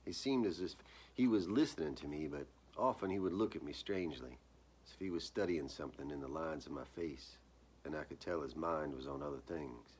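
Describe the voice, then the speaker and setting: A man reading his own short story aloud as its author, with measured, deliberate phrasing. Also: extremely low pitch, a little mellow, breathy edge. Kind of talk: dramatic live reading of literary horror fiction.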